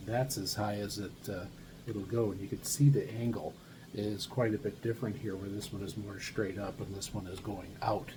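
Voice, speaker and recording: A man hosting a casual hands-on demonstration, talking in a halting, unscripted way as if thinking aloud. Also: fairly low pitch, steady tone. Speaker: hobbyist video host reviewing radios. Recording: noisy recording with loud background noise.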